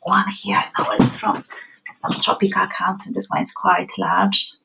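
A middle-aged woman from Poland with a Polish accent, a children's book author chatting as a podcast guest, soft-spoken and pausing to find words. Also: medium pitch, monotone, vocal fry, very noisy. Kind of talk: casual interview chat.

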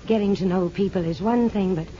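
A woman's voice: mid-range voice, brisk, mellow, slight background noise, natural voice. An actress in a 1950s radio drama playing an anxious, tender young woman in love, speaking earnestly and hesitantly. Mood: guarded, reluctant. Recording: dull 1950s radio broadcast recording.

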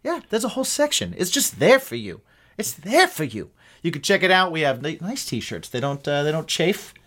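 A man's voice: on the high side, very animated, moderate pace, fairly bright tone. Jokey podcast co-host man. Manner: jokey, playful banter. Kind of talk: casual chat.

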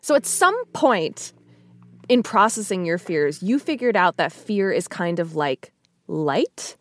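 Woman podcast host, kinda low voice, thoughtful, really dry studio sound. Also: sing-song, takes it slowly, no room sound. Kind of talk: conversational podcast interview with some narration.

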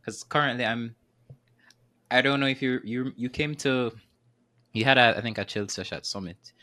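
Relaxed guy with a bright voice rambling about his stock buys. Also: medium pitch, normal speed. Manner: easygoing, rambling, frequent fillers. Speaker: podcast guest. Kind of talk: casual chat about stocks.

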